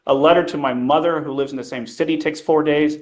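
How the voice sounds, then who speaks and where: A man's voice: fairly high pitch, steady tone, fast. A defense attorney, a man, arguing before the bench in a courtroom. He talks in formal legal terms and keeps pressing his point, with frequent ums between phrases.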